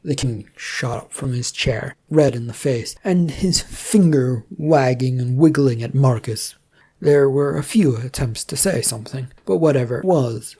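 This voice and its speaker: A woman's voice: rumbling low, mellow, breathy edge. A woman reading scripted fiction aloud as the narrator of a story.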